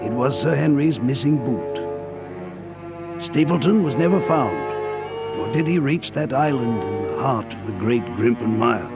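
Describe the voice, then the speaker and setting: Earnest gentleman sidekick narrating a mystery radio play, grave n suspenseful, music behind him. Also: medium pitch, animated, unhurried.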